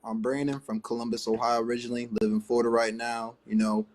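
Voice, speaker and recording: A man's voice: mid-range voice, little pitch movement, fast. A man in his late thirties introducing himself confidently as a contestant. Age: 30s. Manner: smooth, confident. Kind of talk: self-introduction.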